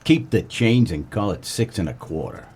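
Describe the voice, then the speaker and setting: A man playing a wisecracking, deadpan 1940s private detective in a comedic noir radio play, with terse, slangy tough-guy delivery and a husky voice. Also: on the low side, normal speed. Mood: cool, wry. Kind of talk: comedic noir radio play, first-person narration and dialogue.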